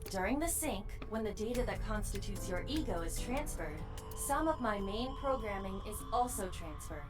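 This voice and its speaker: A woman's voice: mid-range voice, lively intonation, moderate pace, lightly acted. An actress playing a matter-of-fact, teasing female AI partner in a mystery game, with dry and precise phrasing. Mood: clinical. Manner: precise, dry, formal explanations. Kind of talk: visual novel voice acting. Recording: game dialogue over clear music.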